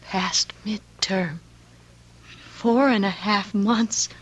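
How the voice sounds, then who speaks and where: An actress playing a desperate, haunted young pregnant wife in an old radio horror drama, speaking slowly with theatrical intensity. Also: female voice, medium pitch, breathy edge. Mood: frightened.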